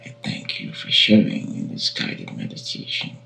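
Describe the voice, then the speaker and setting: A man guiding a meditation in a calm, hushed, often breathy delivery, in long unhurried phrases. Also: rumbling low. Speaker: guided meditation guide. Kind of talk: slow guided meditation narration. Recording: roomy echo with music behind. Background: singing bowl tones.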